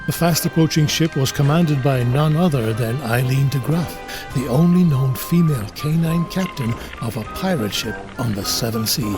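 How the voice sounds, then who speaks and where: A man narrating a scripted comic adventure as a storybook narrator, in a measured, wry way. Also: medium pitch, music in the background, natural voice.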